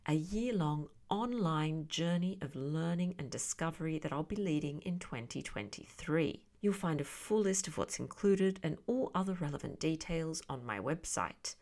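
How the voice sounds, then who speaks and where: Woman, fairly low pitch, vocal fry, podcast presenter. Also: a little mellow. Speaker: podcast host. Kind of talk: scripted podcast narration and interviewing.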